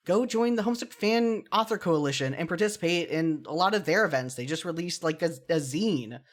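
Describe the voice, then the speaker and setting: A podcast host with a medium-pitched voice delivers solo commentary. The delivery is earnest and opinionated, sounding partly scripted but loosened by informal, self-deprecating asides.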